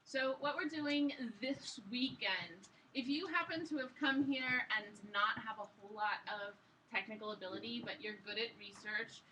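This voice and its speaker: Woman presenting a project, kinda high bright voice, chatty and keen, mic is far off. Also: normal speed. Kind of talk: informal live pitch to an audience. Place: live event room with audience. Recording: distant mic, faint background noise.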